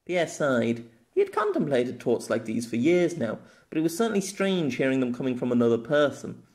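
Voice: medium pitch, very animated, a little fast, a little mellow. A narrator reading a scripted novella aloud as an audio story.

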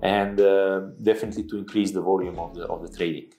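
A man with a Serbian accent giving halting, hesitant interview answers as a company boss, often repeating words. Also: deep voice, fairly even intonation, dark tone. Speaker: company CEO, interview guest. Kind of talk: interview answers about his business. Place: indoor studio at a desk. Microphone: clip-on lapel mic. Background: background music.

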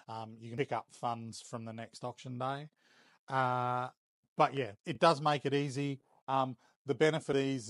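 A man in his fifties with an Australian accent, explaining things casually in a measured, matter-of-fact way with frequent hesitations. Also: medium pitch, no room sound, studio quality. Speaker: auction house operator. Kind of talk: casual explanatory interview talk. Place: indoors, warehouse auction rooms and workshop.

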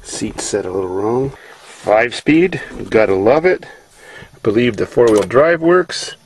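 A man's voice: medium pitch, animated, slow pace, breathy edge. A man, giving casual running commentary in breezy, slangy short remarks on a handheld camera. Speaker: amateur mechanic filming his truck. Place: outdoors and inside a truck cab. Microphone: handheld camera at arm's length. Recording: noisy handheld camcorder, vehicle and outdoor noise.

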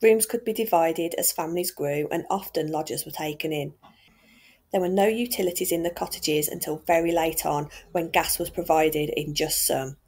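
A woman from England talks through a walking tour of old homes. Her voice sits a little low and has a husky, slightly breathy edge. She narrates in a chatty, enthusiastic way, as if showing a friend around. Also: fairly even intonation, normal speed, a little mellow. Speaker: vlogger and tour guide.

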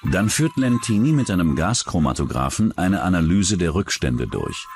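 A man's voice: low voice, sing-song, normal speed, a little mellow, faint music behind. A man narrating a scripted true-crime documentary as its narrator.

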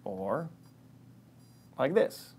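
An American man in his thirties presenting a scripted but conversational software tutorial in an animated, playful way. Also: medium pitch, extremely slow, vocal fry, husky. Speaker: software training instructor.

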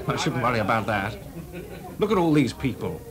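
Actor playing a wry young composer at a party. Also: male voice, mid-range voice, very animated, normal speed, natural voice. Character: composer Delius, young man, wry and witty. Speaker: lead actor. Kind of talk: 1980s radio drama. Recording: party crowd behind.

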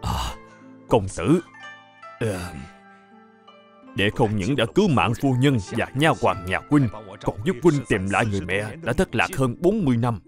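An excitable middle-aged gentleman of an old Chinese household for a cartoon. His pitch swings widely as he hesitates, exclaims and effusively gives thanks, with music underneath. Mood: grateful, moved. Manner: effusive, halting, full of interjections. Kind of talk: animated historical cartoon dubbing.

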